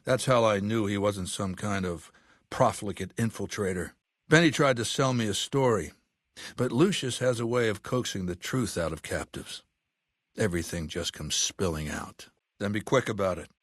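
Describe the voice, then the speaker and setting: A man playing a ruthless, commanding warlord dictator in a video game, menacing and condescending, speaking deliberately. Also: fairly low pitch, fairly bright tone, no echo, studio quality. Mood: imperious. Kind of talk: video game character voice acting.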